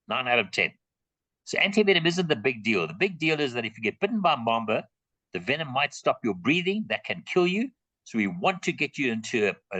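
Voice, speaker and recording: A man's voice: lowish voice, pushed and bright, no echo, poor audio. A middle-aged South African man answering audience questions in an online talk, plain-spoken and emphatic, repeating his key points. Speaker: guest speaker on reptiles.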